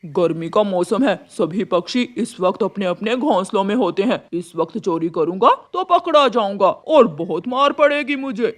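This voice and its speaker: A woman's voice: medium pitch, lively intonation, normal speed, hardly any pauses, natural voice. A woman voicing a shifty, grumpy crow thief in a children's cartoon, complaining and stammering. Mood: reluctant. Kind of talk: Hindi children's cartoon dialogue.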